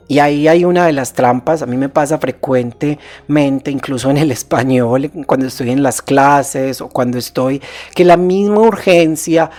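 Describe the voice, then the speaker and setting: A man, a psychologist hosting his own show, talking gently and steadily with some hesitations and restarts. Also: on the high side. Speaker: psychologist host. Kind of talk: calm advice talk.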